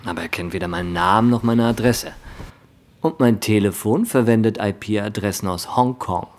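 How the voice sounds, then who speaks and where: An actor playing a sceptical, rattled banker in a radio play, speaking in a hesitant, incredulous way. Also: male voice, lowish voice, moderate pace, close to an everyday voice. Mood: calm, conspiratorial. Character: sceptical, rattled male banker drawn into temptation. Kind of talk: German radio play acting.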